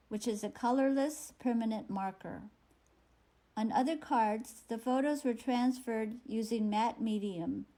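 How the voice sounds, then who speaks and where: A woman artist narrating a statement about her work in a careful, unhurried way. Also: a little high, warm tone, rough recording.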